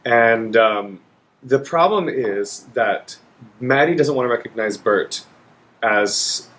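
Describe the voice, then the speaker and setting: A man's voice: medium pitch, animated, takes it slowly, fairly bright tone, rough recording. A young adult man from Texas, an opera singer chatting as a podcast guest with enthusiasm and lots of fillers. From Dallas, Texas. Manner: enthusiastic, rambling, frequent fillers. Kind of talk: casual interview chat.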